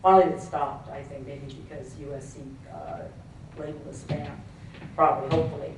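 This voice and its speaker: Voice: mid-range voice, steady tone, fairly quick, noisy background. A professor in the audience making a point to a panel, earnest and rambling with many asides. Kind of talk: conference audience question. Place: conference hall. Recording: very echoey hall from far off.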